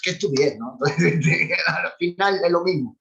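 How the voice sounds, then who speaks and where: A man's voice: a little high, moderate pace, creaky, fairly bright tone. A man from Cuba with a Cuban accent, chatting on a video call, talkative and opinionated, often laughing as he talks. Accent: Cuban Spanish. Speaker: former cultural animator. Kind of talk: casual chat on a video call. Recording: dull lo-fi video call audio.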